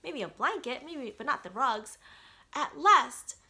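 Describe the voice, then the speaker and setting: A woman's voice: sits high, moderate pace. A woman in her thirties reading a picture book aloud interactively, putting questions straight to young children. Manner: animated, questioning, addresses the child directly. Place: small quiet room at home.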